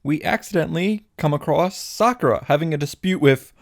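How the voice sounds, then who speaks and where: A man's voice: a little high, fairly quick, dry recording, clean studio sound, faint music behind. A man recapping a visual novel as a host, wry and irreverent, with joking asides and swearing for comic effect. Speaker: video game summary host. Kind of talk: sarcastic story recap with asides.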